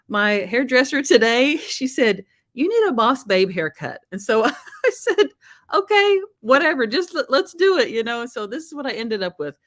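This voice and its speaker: A woman's voice: on the high side, no echo, studio quality. Chatty Southern woman hosting a video chat. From Oklahoma, USA. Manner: chatty, upbeat, laughs often. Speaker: show host.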